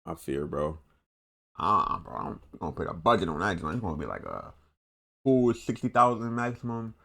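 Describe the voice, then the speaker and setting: A man's voice: deep voice, very animated, moderate pace, vocal fry, a bit bright. A young man with an American accent giving casual, unscripted reaction commentary in a slangy, repetitive, rambling way. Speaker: reaction video host.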